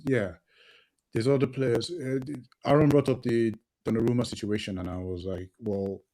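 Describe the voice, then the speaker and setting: A man's voice: medium pitch, steady tone, normal speed, dark tone, poor audio. A man co-hosting a football podcast, sniffly from hay fever, passionate and often repeating words as he thinks. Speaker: podcast co-host. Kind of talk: casual football podcast chat.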